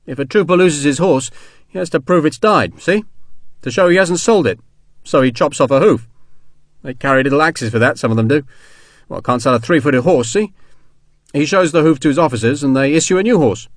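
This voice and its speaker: A man voicing a rough but kindly young army sergeant in an audiobook, with blunt, chatty, colloquial working-class speech. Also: fairly high pitch, a little fast, dry recording, clean studio sound, lightly acted. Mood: chatty, reassuring.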